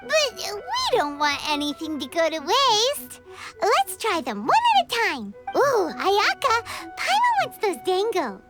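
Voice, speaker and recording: Tiny squeaky excitable fairy girl. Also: measured pace, loud music behind the voice, exaggerated voice. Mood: eager, greedy. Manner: squeaky, chirpy, refers to self in third person. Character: tiny childlike female fairy companion, greedy, bubbly, excitable. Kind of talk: English-dubbed anime-style game acting.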